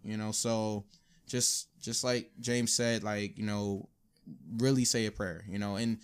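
A man co-hosting a casual podcast, talking informally with slang in a subdued, low-energy way. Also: steady tone, measured pace, smooth. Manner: informal urban slang, frequent "you know what I'm saying". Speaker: podcast co-host. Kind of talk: casual conversational podcast talk.

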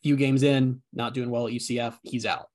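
A man in his twenties co-hosting a sports podcast, chatting casually in an animated, self-deprecating way. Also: medium pitch, a little fast. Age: 20s. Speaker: podcast co-host.

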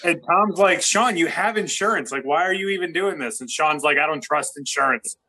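A man's voice: fairly high pitch, a little fast, bright, forceful tone. A man co-hosting a podcast in casual, teasing banter with his friends. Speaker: podcast co-host.

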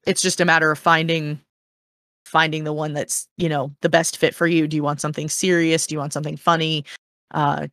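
A woman's voice: low-pitched, fairly bright tone, no echo. A woman in a casual discussion, explaining in an earnest, encouraging and meandering way as a panel guest.

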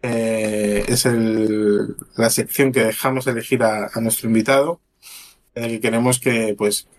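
Measured Galician podcast host. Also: male voice, fairly low pitch, little pitch movement. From Galicia, Spain. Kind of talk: casual podcast chat.

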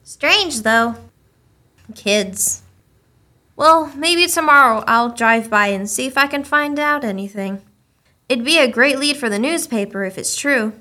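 Woman doing a curious lady reporter for a radio play, high bright voice, earnest, talks naturally. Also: unhurried. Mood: wry, wistful. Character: earnest, ambitious woman small-town newspaper reporter.

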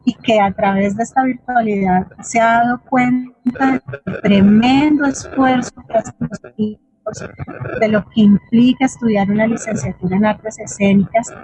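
A middle-aged Colombian woman speaking as an interviewed theatre teacher, explaining reflectively and haltingly, with frequent little checking questions. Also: a little high, fairly even intonation, darkish tone, slightly rough, no room sound, rough recording. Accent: Colombian Spanish. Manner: explanatory, hesitant, often adds checking tag questions. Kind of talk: reflective spoken answer in an online forum.

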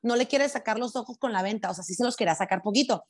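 Fast-talking Sinaloan Mexican woman. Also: on the high side. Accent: Sinaloan Mexican Spanish. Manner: fast, animated, slangy and frank. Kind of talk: casual conversation.

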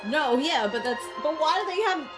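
A woman's voice: high-pitched, moderate pace. A woman chatting casually and teasing her partner while they watch a film, cursing freely and arguing points with energy. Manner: animated, teasing, swears freely. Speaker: movie reaction co-watcher. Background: film soundtrack music.

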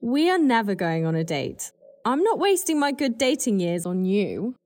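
A woman's voice: on the high side, sing-song, normal speed, darkish tone, no room sound. A woman a foul-mouthed political staffer in a comedy drama. She is scornful and impatient, with biting sarcasm, recorded very dry. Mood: dismissive. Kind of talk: fast comedy audio drama dialogue.

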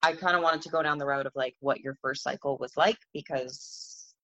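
A woman with a raspy voice interviewing a guest in a relaxed, questioning way. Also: deep voice, moderate pace, rough recording. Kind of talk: casual interview.

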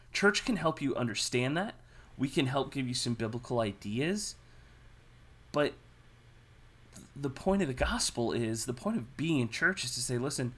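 A man co-hosting a casual church discussion, speaking in an earnest, emphatic way and rising in pitch to stress his points. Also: fairly bright tone. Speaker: podcast co-host, minister.

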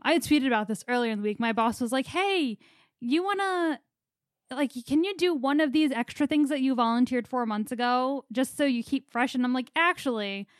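A woman's voice: on the high side, dry recording, clean studio sound. A young woman co-hosting a casual podcast, talking at length in a rambling, anxious way. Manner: chatty, rambling, anxious storytelling. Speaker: podcast co-host. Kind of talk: casual chat.

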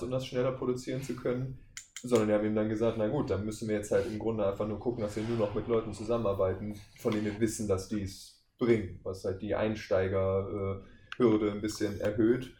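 Low-pitched man explaining, roomy echo. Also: mellow. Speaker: podcast guest, voice actor. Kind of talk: casual interview chat, explaining at length. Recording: echoey room, faint background noise.

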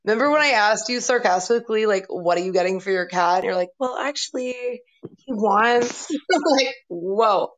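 A woman in her late twenties hosting a loose live chat, needling her co-host with dry sarcasm and easy swearing. Her pitch sits in an ordinary middle range. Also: moderate pace, poor audio. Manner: teasing, sarcastic, swears freely. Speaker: podcast host. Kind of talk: casual chat.

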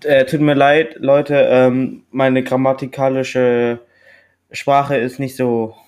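Halting young man from Japan. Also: medium pitch, flat delivery, a little mellow. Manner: halting, searching for words. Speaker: podcast guest. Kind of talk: casual podcast chat.

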